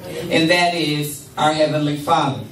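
An older woman giving a measured, deliberate address at a church lectern, holding a handheld microphone. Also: low-pitched, steady tone, noisy background. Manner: measured, deliberate, with congregation responses. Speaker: church speaker. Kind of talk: spoken address at a church service. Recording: echoey room, camera far off.

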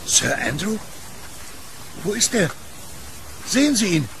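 Male actor as distraught gentleman, urgent exclamations, lively swooping pitch, gravelly creak, horror radio play, noisy background. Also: takes it slowly, full character voice. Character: agitated, distraught gentleman searching desperately in the night. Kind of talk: horror audio drama acting.

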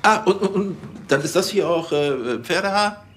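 Flustered, swooping police superior. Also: male voice, high-pitched, expressive, moderate pace, a little hiss or hum. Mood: flustered, awkward. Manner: stammering, fussy. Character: flustered, officious, exasperated male police superior. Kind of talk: TV crime comedy dialogue.

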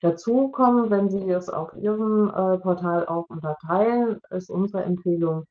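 A woman's voice: mid-range voice, moderate pace. A middle-aged woman co-hosting an online seminar, explaining advice over a video call. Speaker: webinar co-host and media education adviser. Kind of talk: explanatory webinar talk. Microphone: video-call microphone. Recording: dull lo-fi video-call audio.

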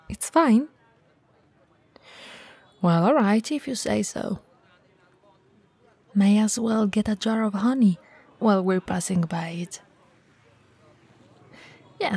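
A woman acts out a towering, friendly shop owner in an ASMR roleplay. She talks slowly and softly, with a mellow, breathy voice and an easygoing, teasing manner. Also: lowish voice, exaggerated voice. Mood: flustered, apologetic, then casual, sweet. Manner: relaxed, soft, teasing, with breathy whispers.

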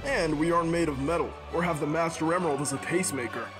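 A man's voice: a little high, fast talker, natural voice. A man voicing a cocky, upbeat young hedgehog hero in a comic dub, breezy and teasing. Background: music.

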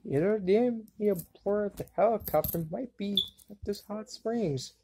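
A woman voicing a jokey, excitable young boy wizard in a cartoon fan dub, cracking wisecracks and yelping exclamations. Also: medium pitch, unhurried, a little hiss or hum, lightly acted.

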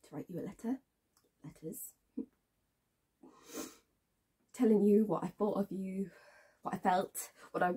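A woman acting a dramatic monologue as a bitter, wounded young woman, halting and repeating her phrases, with a breathy, husky voice. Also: medium pitch, rough recording. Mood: hushed, tense. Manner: halting, repeats phrases, swings between hushed and intense.

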